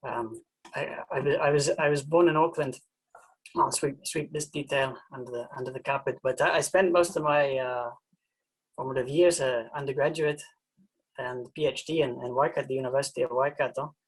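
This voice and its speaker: Husky, halting professor from New Zealand. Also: male voice, mid-range voice, steady tone, poor audio. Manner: halting, often repeating words as he searches. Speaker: university professor giving a talk. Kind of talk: informal presentation talk.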